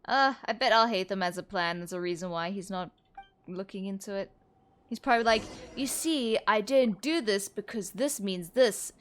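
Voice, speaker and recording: A woman's voice: medium pitch, normal speed, a little hiss or hum. A young woman giving casual let's-play commentary, rambling informally and musing aloud as she plays. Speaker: gaming video creator. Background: game music.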